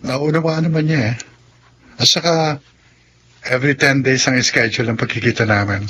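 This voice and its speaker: An actor playing a friendly, grateful young male restaurant worker in a Tagalog radio drama, talking in a gently inquisitive, conversational way. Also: mid-range voice, normal speed, slight background noise, lightly acted. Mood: earnest, grateful. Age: young adult.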